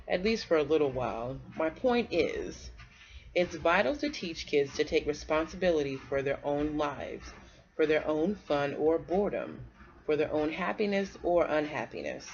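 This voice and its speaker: A woman from North Carolina reading aloud to children in a conversational way, pausing to put questions to the young listeners. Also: low voice, muffled recording. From North Carolina, United States. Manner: conversational, asks the listener questions and pauses for answers.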